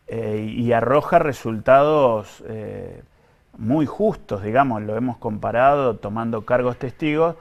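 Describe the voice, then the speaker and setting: A man with an Argentine accent speaking as an official in an interview, explaining things in a careful, measured, technical way. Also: medium pitch, gravelly. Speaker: government pension official being interviewed.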